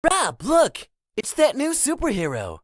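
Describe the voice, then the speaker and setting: Superhero-mad kid voiced by a woman: high, lively, teasing, slangy comic-book boy. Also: measured pace, full character voice. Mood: awed. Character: excitable, stubborn young boy, superhero fan. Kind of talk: comic dub character acting.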